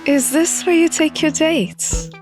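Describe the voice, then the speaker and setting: A woman's voice: high voice, slow pace, a little mellow, faint music behind, voice pushed up. A woman voicing a defiant, sardonic young runaway royal in a visual novel, sarcastic and challenging. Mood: questioning, skeptical.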